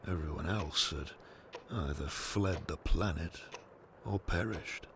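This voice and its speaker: A man with a gravelly voice reading scripted storybook narration for an audio drama in a slow, measured manner. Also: low voice, noisy background.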